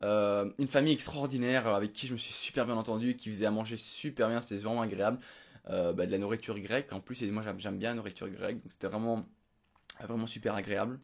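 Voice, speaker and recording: A man's voice: on the low side, fairly even intonation, fast, vocal fry. A young man from Belgium giving a casual, unedited recap to his viewers, rambling with many fillers and restarts. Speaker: vlogger. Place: home room.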